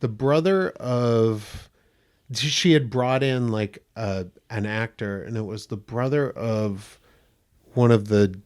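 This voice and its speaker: Male guest actor, soft higher-pitched voice, lively anecdotes, quick laughs. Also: clean tone. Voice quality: slightly high, gentle voice. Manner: animated storyteller, laughs often, acts out quotes. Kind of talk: casual podcast chat.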